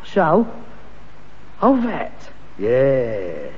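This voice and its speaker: An actor playing a pretentious, defensive grown son in a vintage British sitcom, with a London accent and a blustering delivery. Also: male voice, mid-range voice, huge pitch swings, drawn-out words, breathy edge, in a large hall, lo-fi sound, full character voice.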